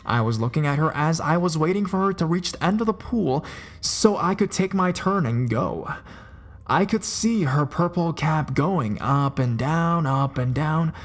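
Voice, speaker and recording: A man telling scary stories in a scripted narration, dropping his voice low and slowing down for suspense. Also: lively intonation. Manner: dramatic, drops low and slows for suspense. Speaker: horror story narrator. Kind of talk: scripted horror story narration. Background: faint music.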